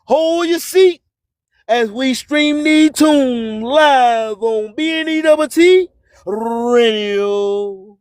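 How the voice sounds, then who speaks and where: A man's voice: very high-pitched, drawn-out words, clean tone, dry recording, clean studio sound. A man hosting radio with an emphatic, preacher-like motivational monologue full of repeated phrases. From US Gulf Coast. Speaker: radio host.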